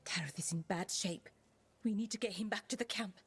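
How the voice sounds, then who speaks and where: A woman's voice: mid-range voice, a little fast, husky. A woman playing a composed, earnest elven scout leader in a video game, serious and authoritative. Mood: earnest, urgent.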